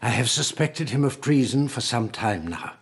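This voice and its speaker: An actor playing an old, dying lord and conspirator in a radio drama, urbane and formal, courteous but menacing. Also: male voice, mid-range voice, expressive, slightly rough. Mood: coldly composed. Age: older. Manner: urbane, measured, formal, faintly mocking.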